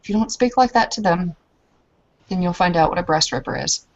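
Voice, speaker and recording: Woman playing an earnest, low-voiced werewolf kinswoman. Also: animated, a little fast, lo-fi sound. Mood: earnest warning. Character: earnest, humble, formal kinfolk woman of a werewolf clan. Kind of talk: improvised in-character roleplay.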